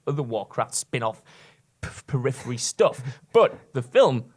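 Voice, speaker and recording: A man's voice: medium pitch, very animated, normal speed. A man giving opinions in a casual, conversational way as a podcast co-host. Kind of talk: casual discussion.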